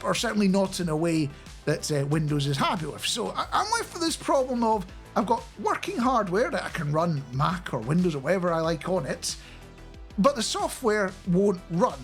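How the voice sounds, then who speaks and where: A middle-aged man giving a casual, chatty and animated explainer into a close studio microphone. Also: high-pitched. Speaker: radio producer and software developer presenting a video. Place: small home studio indoors. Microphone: close studio microphone on a boom arm.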